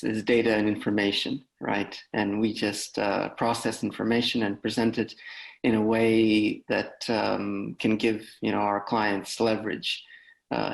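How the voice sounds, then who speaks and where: A man's voice: fairly low pitch, creaky, low-quality recording. A man in his thirties from Poland, Polish his first language, giving a loose, filler-heavy career talk on a video call. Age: 30s. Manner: relaxed, loose, with many fillers and restarts. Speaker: guest speaker, data analyst.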